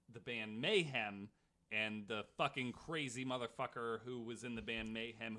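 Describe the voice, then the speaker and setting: Sardonic man with a bright, forceful voice. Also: mid-range voice. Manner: joking, sardonic, swears freely. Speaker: podcast co-host. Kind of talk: casual chat.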